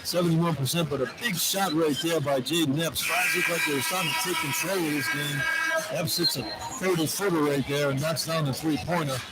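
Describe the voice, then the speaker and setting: A man's voice: a little high, fairly quick, very noisy. A man giving color commentary and statistics on a live basketball radio broadcast. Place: large indoor arena.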